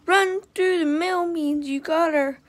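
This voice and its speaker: A woman's voice: extremely high pitch, fairly even intonation, a little slow, pitched above the performer's own voice. A woman reading a patient storybook mother. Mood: gentle.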